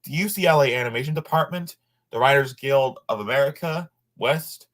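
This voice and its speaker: A man reading a nonfiction book aloud informally, sometimes halting over words and adding asides. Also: medium pitch, a bit bright.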